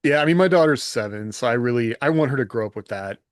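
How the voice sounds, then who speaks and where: A man's voice: mid-range voice, lively intonation, fast talker, dry recording, studio quality. A middle-aged American man chatting casually as a podcast co-host, candid and self-deprecating. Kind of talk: casual chat.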